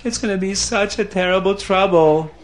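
A frightened estate manager, as a male storyteller puts him on, wailing and fretting in a high voice. The worry tumbles out of him. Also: moderate pace, natural voice. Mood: panicked. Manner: panicky, wailing, fretful.

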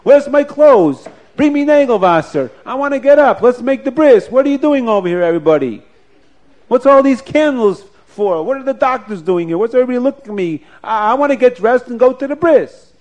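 A man voicing a sick father who wakes from his bed bewildered and firing off excited questions. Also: very high voice, dull audio, voice pushed up. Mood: bewildered, eager. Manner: rapid-fire excited questions. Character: bewildered sick father suddenly waking, eager.

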